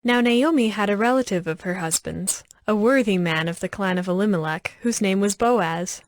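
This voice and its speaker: A woman narrating scripture as a scripted audio story, with measured, clear phrasing. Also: mid-range voice, no room sound, clean studio sound. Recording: background music under the voice.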